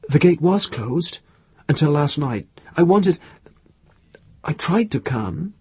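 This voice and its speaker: A shy, earnest young woman in a storybook, acted by a man. The voice sits higher and breathy, halting and uneasy as it searches for words. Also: animated, darkish tone, no room sound, heavily acted. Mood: hesitant, earnest. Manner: hesitant, halting.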